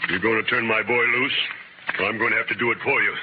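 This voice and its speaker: An actor playing an arrogant, blustering middle-aged American town boss in a radio Western, pompous and domineering. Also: male voice, fairly low pitch, fairly even intonation, fast talker, lightly acted. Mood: demanding, indignant. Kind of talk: 1950s radio Western acting. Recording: muffled, noisy old radio recording.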